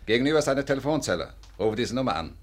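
An actor in an old German radio play playing a suave, commanding gangster who is coolly threatening. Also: male voice, medium pitch, very animated, racing. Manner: smooth-talking, commanding. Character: suave, cool, threatening male gangster boss. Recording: noisy old radio recording.

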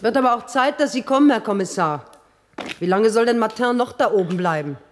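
Actress as a brisk woman in reverberant room. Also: fairly high pitch, animated, slight background noise, natural voice. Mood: impatient. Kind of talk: German radio play acting.

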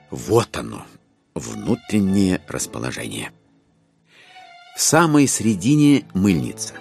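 A low-voiced man narrates a classic story with lively intonation. His manner is wry and unhurried. The sound is dry, with faint music underneath. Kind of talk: dramatised literary narration.

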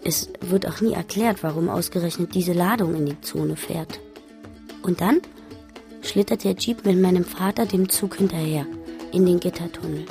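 A woman's voice: fairly low pitch, normal speed, dark tone, faint music behind, close to an everyday voice. An actress playing a stalker's daughter who narrates her memories in a wry, puzzled way, in a radio drama monologue. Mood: reflective.

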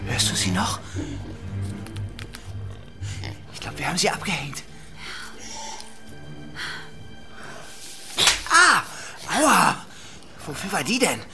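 An actor playing a nervous, hapless young sidekick in an audio drama, hesitant and sheepish. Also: male voice, fairly high pitch, huge pitch swings, normal speed, over music. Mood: breathless, indignant.

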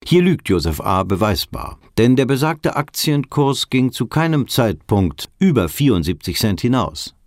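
An actor playing a sober documentary narrator in a radio play, measured and matter-of-fact. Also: male voice, mid-range voice, sing-song, darkish tone, no room sound, clean studio sound. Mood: sober, probing. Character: sober documentary narrator piecing together a stock scandal.

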